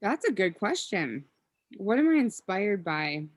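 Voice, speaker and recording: A woman's voice: mid-range voice, very animated, measured pace, no echo. A woman in her thirties from Minnesota, chatting casually as a podcast guest, rambling with ums and self-corrections. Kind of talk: casual interview chat.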